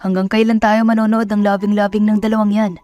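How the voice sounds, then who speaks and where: A woman's voice: mid-range voice, flat delivery, fast talker, mellow, soft background music, close to an everyday voice. A woman voicing a blunt, sensible friend in an audio drama, matter-of-fact and admonishing. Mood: cautioning.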